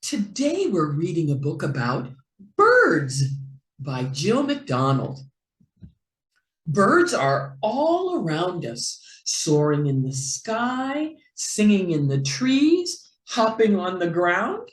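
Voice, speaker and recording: A woman reading a picture book aloud to young children in an expressive, deliberate, playful way, as a teacher would. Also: on the low side, echoey room, rough recording. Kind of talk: slow animated read-aloud for young children.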